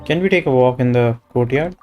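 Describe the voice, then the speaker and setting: A man's voice: medium pitch, brisk, muffled recording. A man with an Indian accent streaming a game, reading dialogue aloud and adding casual comments. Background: game music.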